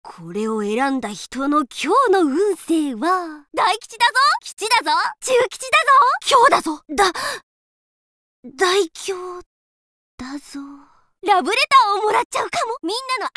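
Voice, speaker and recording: A woman's voice: extremely high pitch, bright, forceful tone, dry recording, heavily acted. A woman voicing a boisterous, cheeky teenage schoolgirl drummer in an anime video game, with an exuberant, tomboyish, informal way of talking.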